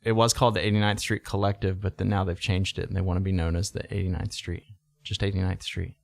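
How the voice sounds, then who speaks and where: A man's voice: sits low, flat delivery, fast, vocal fry. A man in his late thirties, a guest on a podcast, chatting casually and joking, often laughing between lines. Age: 30s. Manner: jokey, laughs often, teasing. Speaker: podcast guest, band member. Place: podcast studio.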